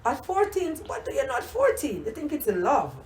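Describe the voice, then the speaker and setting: A woman's voice: high voice, huge pitch swings, a little fast, reverberant, slight background noise. An older grandmother from Jamaica with a Jamaican accent, reminiscing in a casual interview and often repeating herself. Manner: warm reminiscing, repeats words, many "you know"s. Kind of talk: casual oral history interview.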